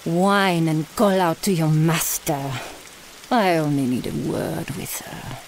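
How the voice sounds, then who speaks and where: Female vampire mistress played by a woman, elegant and menacing, unhurried theatrical delivery, hiss and rain behind. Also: deep voice. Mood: mocking, menacing. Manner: slow, theatrical, sprinkles French phrases. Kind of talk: audio roleplay drama acting.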